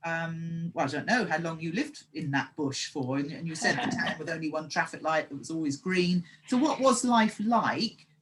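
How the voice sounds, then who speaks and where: A woman's voice: sits low, normal speed, few pauses, fairly bright tone. A woman hosting an interview as a story coach, asking probing questions with frequent hesitant fillers. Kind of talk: casual interview chat.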